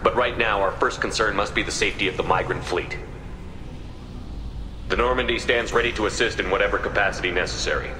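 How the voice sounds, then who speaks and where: An actor playing a calm, firm male starship captain in a game cutscene. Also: fairly low pitch, a little fast, background noise, close to an everyday voice. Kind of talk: video game cutscene dialogue. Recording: echoey game mix with music.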